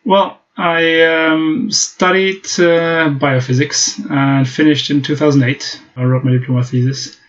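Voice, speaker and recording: German guy speaking english, flat even voice, lots of uhs, dull lo-fi audio. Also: on the high side, a little slow, few pauses. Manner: hesitant, many fillers and restarts. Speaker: guest. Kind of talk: casual interview talk.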